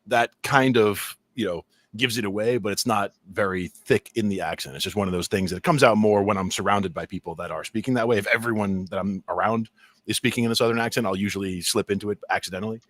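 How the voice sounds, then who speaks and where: A man's voice: fairly low pitch, quick pace, fairly bright tone, no echo. A man from New Jersey with a mild New Jersey accent, chatting casually as a podcast co-host, rambling and laughing often. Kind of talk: casual chat.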